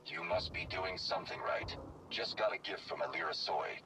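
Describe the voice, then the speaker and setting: Male actor as video game droid, low voice, formal, dry, deadpan, noisy vehicle ambience. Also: moderate pace, natural voice. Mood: dry, matter-of-fact.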